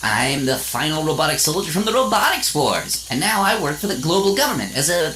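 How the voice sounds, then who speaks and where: A man playing a small, earnest robot boy hero in a comedic radio serial, polite and gee-whiz, halting when hurt. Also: a little high, very animated, pushed and bright, a little hiss or hum, rough recording. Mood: chipper, eager.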